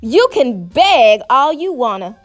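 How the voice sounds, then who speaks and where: A woman's voice: sits high, very animated, slow, heavily acted. A woman voicing a sassy, unimpressed little girl with a rural Southern US accent in a storybook, matter-of-fact, over music. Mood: defiant. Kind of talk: storybook character voice.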